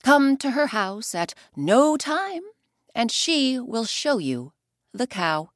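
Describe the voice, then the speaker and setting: A woman's voice: a little high, very animated, takes it slowly, dry recording, studio quality, pitched above the performer's own voice. A woman playing a cheeky storybook messenger. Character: cheeky, bold messenger from a village.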